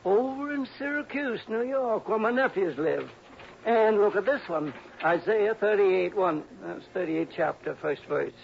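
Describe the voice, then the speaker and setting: Cranky, stingy old man, high querulous voice, badgering and suspicious, muffled vintage radio sound. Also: expressive, unhurried, gravelly, a little hiss or hum, heavily acted. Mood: insistent, suspicious. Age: older. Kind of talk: old-time radio drama acting.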